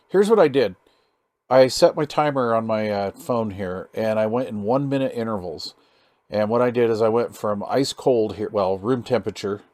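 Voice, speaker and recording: A man giving a casual hands-on demonstration as a hobbyist, talking in a halting, easygoing, folksy way and sometimes muttering to himself. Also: on the low side, a little mellow, no room sound. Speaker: hobbyist presenter demonstrating radio gear.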